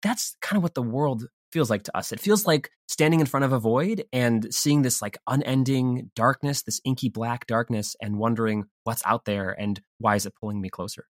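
A man's voice: medium pitch, normal speed, few pauses, no room sound, studio quality. A man speaking as a creative guest in an interview, explaining things casually.

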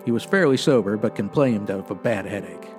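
A man playing a stern 1950s police detective with a flat, clipped monotone delivery, in a comedy radio play. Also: lowish voice, a little fast, over music, close to an everyday voice. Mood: deadpan.

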